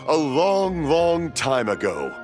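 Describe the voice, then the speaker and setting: A man's voice: on the high side, big pitch swings, slow, music in the background. An actor playing a jovial, rambling, hard-of-hearing old father and craftsman in a video game, hearty and dramatic. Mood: reminiscing. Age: older. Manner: hearty, dramatic storyteller, easily sidetracked. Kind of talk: English video game voice acting.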